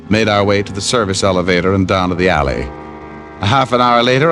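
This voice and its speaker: Man playing hardboiled radio detective, American, low voice, wry, deadpan, first-person narration, background music. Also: lightly acted. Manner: wisecracking, deadpan. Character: wry, tough male private detective, cool under threat. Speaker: lead actor and narrator.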